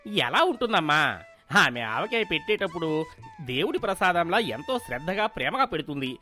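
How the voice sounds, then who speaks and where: A man's voice: fairly high pitch, very animated, normal speed, pushed and bright, soft background music. A man voicing a blunt, exasperated grown son in a village moral story, scolding and reproachful. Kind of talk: animated moral story voice acting.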